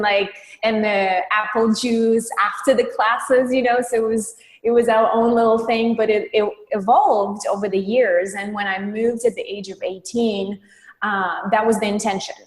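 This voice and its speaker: Roomy-sounding woman, chatty guest. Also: mid-range voice. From Estonia. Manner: warm, chatty, repeats "yes" often. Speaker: podcast guest. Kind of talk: casual interview chat. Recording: roomy reverberant room sound.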